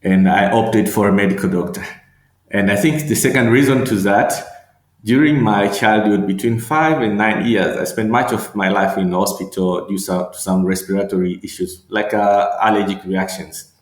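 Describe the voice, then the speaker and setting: A middle-aged man from Tanzania, a medical doctor and regional manager, answering interview questions slowly and thoughtfully, with many fillers and repeated words. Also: fairly low pitch, roomy sound.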